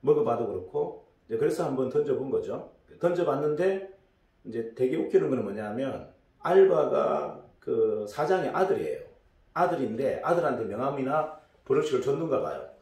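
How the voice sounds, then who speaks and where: A middle-aged man with a hoarse, gravelly throat, his tone dark and mellow, tells a business lecture as a loose story. He rambles and restarts often. He is picked up from a distance in a roomy, echoing space. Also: mid-range voice, animated, normal speed. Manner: rambling, many fillers and restarts. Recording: echoey room, distant mic.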